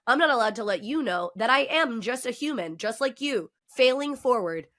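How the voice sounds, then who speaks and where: A woman hosting a podcast, talking in a casual, emphatic way with a loud voice and frequent "right?" and "okay?" asides. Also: a little high, animated, normal speed. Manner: self-described loud voice. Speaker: podcast host. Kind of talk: casual conversation.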